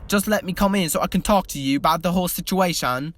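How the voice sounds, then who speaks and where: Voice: mid-range voice, quick pace, natural voice. A frazzled young man trying to hold his band together, tumbling over his words and calling everyone lad and mate, as a reader puts him on. Music plays under him. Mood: anxious. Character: anxious young male pop singer calling everyone lad. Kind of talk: reading fan fiction aloud.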